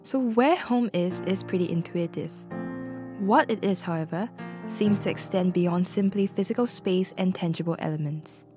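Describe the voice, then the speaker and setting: A woman from Singapore reading scripted documentary narration in a measured, reflective way. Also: lowish voice. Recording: voice-over with music behind.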